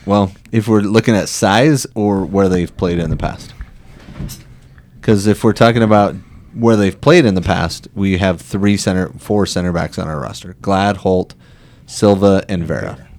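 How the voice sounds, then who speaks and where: A man's voice: deep voice, unhurried, a little mellow. A married man co-hosting a sports podcast, chatting casually and telling jokey stories. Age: adult, married. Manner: joking, tells stories with quoted voices. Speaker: podcast co-host. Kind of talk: casual chat.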